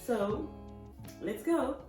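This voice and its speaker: A woman's voice: fairly high pitch, lively intonation, drawn-out words, distant mic. A woman in her thirties from Kinshasa, introducing herself in a casual, chatty vlog, often laughing and slipping in English words. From Congo (Kinshasa). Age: 30s. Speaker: vlogger. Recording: echoey room, voice a bit off-mic, music behind.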